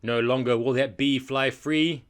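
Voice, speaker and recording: A man voicing an excitable male storybook friend, urgent and eager in rhyming exclamations. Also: medium pitch, unhurried, natural voice. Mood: jubilant.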